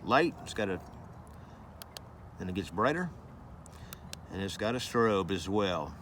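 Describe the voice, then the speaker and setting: A man's voice: low-pitched, sing-song, measured pace, fairly bright tone, slight background noise. A man giving a casual hands-on product review, explaining things informally and loosely with some repetition.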